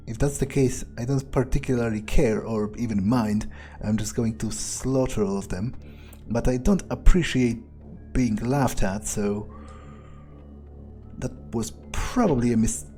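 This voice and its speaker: A man playing a foul-mouthed, sardonic vampire, musing aloud with wry, hesitant pauses as he plays a video game. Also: medium pitch, warm tone, faint music behind, natural voice. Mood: menacing, annoyed. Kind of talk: in-character playthrough narration, musing aloud.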